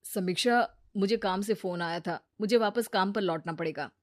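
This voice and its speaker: A woman voicing a sensible, patient adult man, measured and gently advising, in a cartoon moral story. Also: mid-range voice, no echo, studio quality, pitched below the performer's own voice, natural voice.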